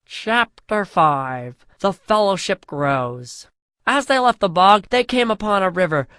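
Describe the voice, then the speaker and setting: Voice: medium pitch, lively intonation, measured pace, a bit bright, smooth, no room sound, studio quality. A storyteller reading a scripted comic fantasy parody in a mock-epic way, drawing out the chapter headings.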